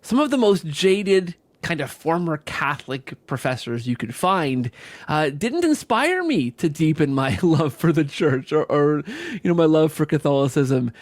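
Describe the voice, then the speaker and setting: A man's voice: fairly high pitch, very animated, unhurried, no echo. A man hosting a conversational podcast interview, effusive and wordy, often rephrasing himself mid-thought. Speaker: podcast host.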